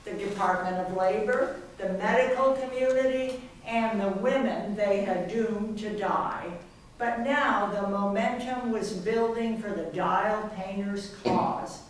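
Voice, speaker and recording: Female stage narrator, unhurried scripted reading, heard in a very echoey hall. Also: mid-range voice. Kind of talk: scripted readers theater narration. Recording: very echoey hall, far mic, faint noise.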